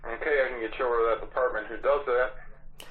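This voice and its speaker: A man answering an office phone, brisk and polite. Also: medium pitch, fairly even intonation, slightly rough. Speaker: office receptionist. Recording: tinny phone line with office chatter.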